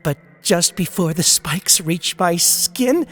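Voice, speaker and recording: A man's voice: high voice, lively intonation, slow pace, exaggerated voice. A man voicing a mad, self-worshipping professor-sculptor who gloats over death in a theatrical, boastful horror-story manner. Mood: gleeful, mad. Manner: theatrical, boastful, prone to cackling.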